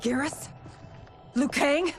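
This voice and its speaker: Dazed, high-voiced warrior woman. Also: faint music behind. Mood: bewildered. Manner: halting, bewildered. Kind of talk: video game cutscene acting.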